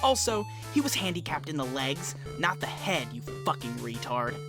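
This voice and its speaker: A woman's voice: deep voice, very animated, moderate pace, music in the background. A woman voicing an irritable, foul-mouthed young male troll in a comic fan dub, abrasive and exasperated. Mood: furious.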